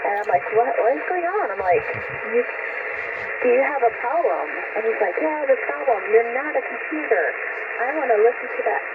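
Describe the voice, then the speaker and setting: A woman's voice: a little high, animated, brisk. A woman ham radio operator chatting and telling stories casually over a radio transmission. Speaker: amateur radio operator and contester. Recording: tinny, very noisy shortwave radio signal. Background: loud radio static.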